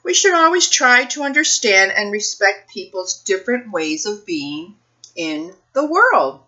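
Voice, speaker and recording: A woman reading a picture book aloud for children's storytime, phrasing things deliberately and putting questions to her young listeners. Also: mid-range voice, sing-song, sharp tone, dull audio.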